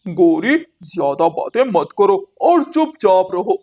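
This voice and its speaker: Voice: medium pitch, big pitch swings, slow, dark tone. A performer voicing a stingy, bossy male crow, a husband and father, in a moral-story cartoon, with a curt manner. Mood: dismissive.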